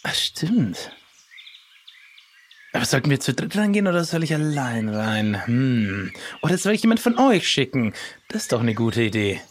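Lively scheming pirate leader, played by a man. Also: mid-range voice, moderate pace, lightly acted. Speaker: improv audio play performer. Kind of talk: improvised pirate adventure audio play. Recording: clearly noisy background.

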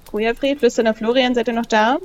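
A woman's voice: a little high, steady tone, fairly quick, a little hiss or hum. A woman in casual role-play as a game player, thinking aloud a little tentatively as she decides what to do.

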